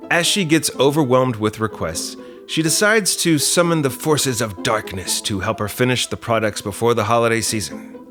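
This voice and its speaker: A man's voice: medium pitch, animated, moderate pace, a bit bright. A man hosting a podcast, presenting an introduction in an upbeat, conversational way. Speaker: podcast host and producer. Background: music.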